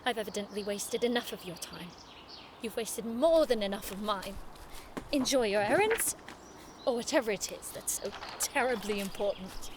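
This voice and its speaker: An actress playing a prim, sharp-tongued young noblewoman in an audio drama, formal, arch and sarcastic. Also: mid-range voice, moderate pace, noisy background, natural voice. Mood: angry, hurt.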